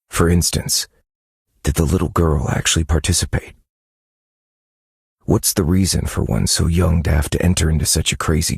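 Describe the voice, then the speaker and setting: A brooding detective from a dark mystery video game, speaks in an extremely deep register with a gravelly fry on it. The delivery is grave, measured and dramatic, like someone piecing together a grim case. It is captured close and dry. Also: male voice, dry recording, heavily acted. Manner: grave, measured, ominous storytelling. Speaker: detective narrator of a game story.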